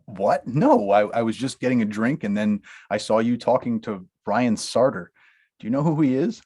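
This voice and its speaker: A man's voice: mid-range voice, animated, normal speed, warm tone, husky. A man reading his lines at a script table read, eager and teasing, with stumbles and restarts. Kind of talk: script table read, playing a nosy friend.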